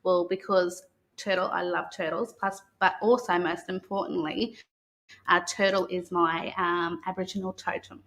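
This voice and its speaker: A woman with an Australian accent speaking as a guest on a children's live stream, explaining things in a measured, halting, courteous way. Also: on the low side, steady tone, fairly bright tone.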